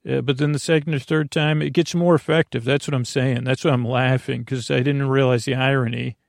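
A man with a creaky, gravelly voice hosting a sleep podcast in a meandering bedtime monologue, halting, absent-minded and self-deprecating. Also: mid-range voice, flat delivery, no room sound, studio quality.

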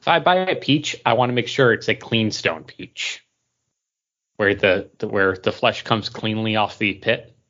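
A man's voice: on the low side, unhurried, fairly bright tone, dull audio. A middle-aged man co-hosting a podcast, rambling enthusiastically as a fan in casual conversation. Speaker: podcast co-host.

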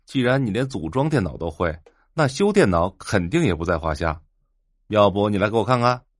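An actor playing a young electronics stall owner in an audio drama, jovial, mocking and a bit preachy. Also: male voice, on the low side, very animated, brisk, no room sound, clean studio sound. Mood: teasing, lecturing.

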